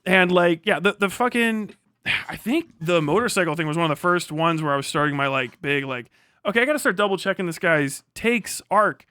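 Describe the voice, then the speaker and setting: A man streaming a casual, heavily sweary political chat, blunt and animated, often acting out imagined dialogue. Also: sits high, fairly quick, clear, resonant tone, dry recording, studio quality.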